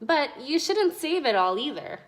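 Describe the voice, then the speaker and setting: A woman's voice: high-pitched, very animated, measured pace, pitched above the performer's own voice. A woman voicing a helpful, instructive uncle who guides children in a storybook. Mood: encouraging. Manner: instructive, encouraging. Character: helpful, wise uncle teaching children about money.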